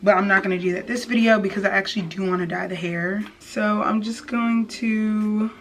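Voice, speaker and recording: A woman's voice: mid-range voice, steady tone, normal speed. A young woman beauty vlogger talking casually and informally to her viewers in a slangy, chatty tutorial style. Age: young adult. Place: small indoor room with plain wall. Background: background music.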